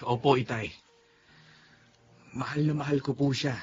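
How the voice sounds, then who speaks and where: A man's voice: medium pitch, fairly even intonation, measured pace, a little mellow, lightly acted. An actor playing a sincere young man who speaks respectfully to his father in a radio drama. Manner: polite, sincere. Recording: muffled radio-play recording with faint noise.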